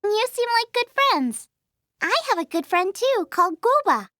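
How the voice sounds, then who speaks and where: A woman voicing an eager, bubbly young girl chef in a video game, with a girlish voice and an excitable, chirpy delivery. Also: moderate pace, no echo, studio quality, full character voice. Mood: bubbly, excited. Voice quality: high, girlish. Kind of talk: video game character acting.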